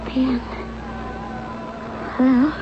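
An American actress playing a fragile, dazed wife in shock, hesitant and childlike, in a 1970s radio drama. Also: female voice, high-pitched, level tone, extremely slow, music in the background. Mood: dazed, fuzzy. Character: fragile dazed young-sounding wife, a psychiatric patient in shock. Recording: dull, noisy old radio recording.

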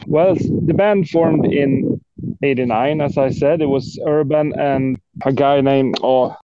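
Swedish metal guitarist guy chatting, smooth mellow voice, kinda unsure of himself, dull lo-fi sound. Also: medium pitch, slow. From Sweden. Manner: hesitant, self-deprecating. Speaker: guest, death metal guitarist. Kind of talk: casual interview chat.

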